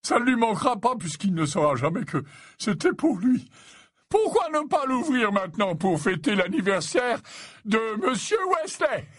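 A man voicing a jovial, fussy older potions professor in an audiobook, flattering, stammering and repeating himself. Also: sits high, normal speed, dry recording, clean studio sound, voice pushed up, heavily acted. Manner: stammering, repeats himself, tacks on questioning tags.

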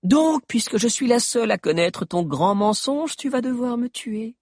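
Dude doing a scheming witch queen, way high, taunting, husky. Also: expressive, moderate pace, no echo, studio quality. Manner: taunting, triumphant.

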